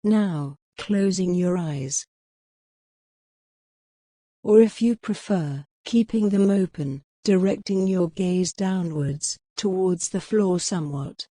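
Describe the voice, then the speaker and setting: Slow, mellow woman guiding meditation. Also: fairly low pitch, dry recording, clean studio sound. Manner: measured, instructional, gently pausing between phrases. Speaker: meditation guide. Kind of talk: guided meditation narration.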